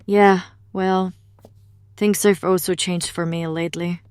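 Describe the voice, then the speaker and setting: An actress playing a guarded demonologist in an audio drama, in an understated conversational way. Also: female voice, lowish voice, little pitch movement, unhurried, a little mellow, clear, resonant tone, no room sound, studio quality, close to an everyday voice. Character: adult female demonologist, guarded and casual.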